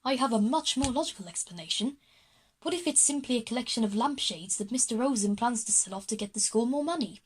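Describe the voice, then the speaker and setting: An earnest schoolboy with a high voice, set on logic, played by a woman. He belongs to a children's audio drama. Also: expressive, fast, a little hiss or hum, close to an everyday voice. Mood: matter-of-fact.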